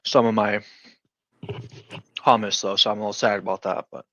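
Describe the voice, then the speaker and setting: A man chatting as a guest on a livestream from outdoors, relaxed and easygoing. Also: lowish voice, normal speed, a little hiss or hum. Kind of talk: casual chat. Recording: dry, dull lo-fi.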